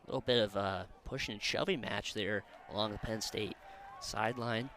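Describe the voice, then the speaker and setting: A man's voice: lowish voice, normal speed, fairly bright tone, slight background noise. A man giving live sports analysis as a color commentator.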